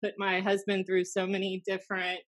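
A woman's voice: medium pitch, monotone, a little fast. An American woman in her thirties chatting wryly as a podcast co-host into a desk microphone. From United States. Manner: snarky, wry. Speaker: podcast co-host, former wrestling announcer. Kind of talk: casual podcast banter. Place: small room indoors.

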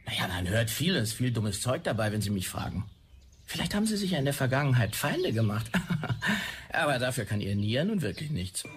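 An actor playing a dismissive, officious implant technician in a sci-fi audio drama, patronising and slightly hesitant. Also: male voice, medium pitch, sing-song, fairly quick, slight background noise, lightly acted. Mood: condescending.

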